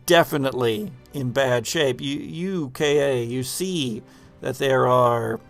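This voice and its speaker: A man hosting a tabletop horror game as game master, improvising dramatic narration with halting pauses mid-sentence. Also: mid-range voice, creaky, soft background music.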